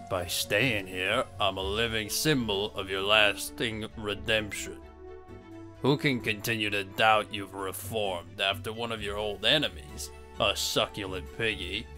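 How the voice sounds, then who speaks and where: A talking pig played by a man with a fairly low, bright, forceful voice. He is theatrical and indignant, fancying himself sophisticated, and argues in wordy, self-important lines for a comic dub. Faint music sits behind him.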